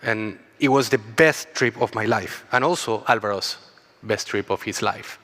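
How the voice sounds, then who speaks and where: A man from Chile talks with a Chilean Spanish accent and a bright, forceful tone. He tells a personal story in an earnest way and often repeats words. His voice carries a long reverb from the large conference hall. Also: fairly low pitch, normal speed. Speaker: keynote speaker.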